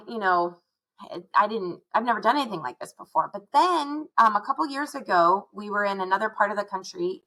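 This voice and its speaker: Bright-voiced chatty woman from Arizona. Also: mid-range voice, rough recording. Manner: chatty storyteller, quotes her own thoughts. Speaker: guest, curriculum founder. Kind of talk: casual interview chat.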